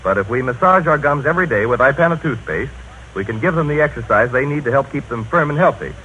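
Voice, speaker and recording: Earnest male testimonial-giver, bright, animated pitch, rehearsed politeness, 1930s radio sketch, old broadcast sound. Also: fast talker. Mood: earnest, helpful. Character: earnest, eager male audience member praising toothpaste. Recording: dull, noisy 1930s radio recording.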